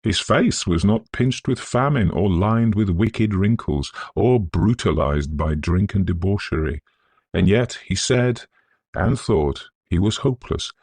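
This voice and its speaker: A man reading a Victorian ghost story in a scholarly, measured, atmospheric manner. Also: fairly low pitch, animated, a little mellow, husky, no room sound. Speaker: narrator.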